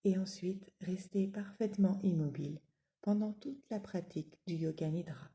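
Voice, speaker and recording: A woman guiding a yoga nidra relaxation in a calm, soothing, measured manner, giving gentle spoken instructions with long pauses. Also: fairly low pitch.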